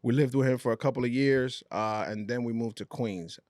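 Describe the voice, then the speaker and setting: A man, a guest on a casual podcast, relaxed and slangy, often trailing off quietly. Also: mid-range voice, brisk, dry recording, clean studio sound. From New York.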